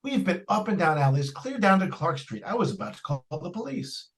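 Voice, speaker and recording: A man's voice: sits high, sing-song, a little fast, a bit bright. A man playing a stern, exasperated father in an old-time radio suspense drama. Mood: angry, worried.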